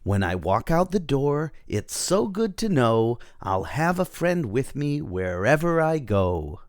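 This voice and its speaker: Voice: mid-range voice, big pitch swings, takes it slowly, no room sound, clean studio sound. A storybook reader narrating a children's tale in the first person as a cheerful rhino.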